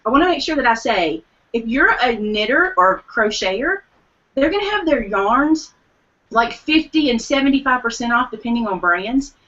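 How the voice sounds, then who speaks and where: A woman co-hosts a live online craft show, eagerly running through shopping deals. Her voice is bright and a little high, even as she is losing it. The stream audio sounds dull and lo-fi. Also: normal speed. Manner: enthusiastic deal announcer. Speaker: co-host.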